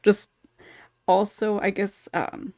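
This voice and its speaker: A woman's voice: medium pitch, flat delivery, slow pace, darkish tone, dry recording, clean studio sound. A woman talking casually in a panel discussion, thinking aloud and hedging as she goes. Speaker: podcast panelist.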